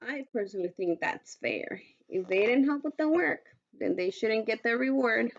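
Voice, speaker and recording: A woman teacher reading aloud to children in an instructive way, pausing to pose questions, on a dull recording. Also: a little high, animated. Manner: instructive, poses questions to listeners.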